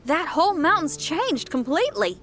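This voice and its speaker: A small, excitable video game companion. The voice is high and chirpy with big lively swings, chatty in delivery, over clearly audible music. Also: female voice, moderate pace. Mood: excited. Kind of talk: scripted game voice acting.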